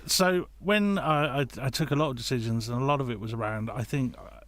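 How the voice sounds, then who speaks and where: A man's voice: medium pitch, brisk, fairly bright tone. A man talking casually as a podcast guest, explaining his creative choices with repeated starts and self-corrections. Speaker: guest, audio drama writer and creator.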